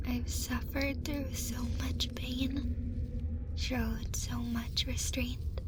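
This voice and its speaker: A woman performs a possessive young yandere woman in an ASMR roleplay, whispering intimately and slowly. Her voice sits fairly high, doting yet menacing. There is clear noise behind the close whispering.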